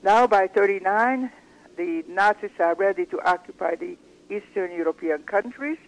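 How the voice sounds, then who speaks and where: An older woman from Hungary, a guest giving her personal testimony slowly, with measured, searching pauses. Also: medium pitch, low-quality recording.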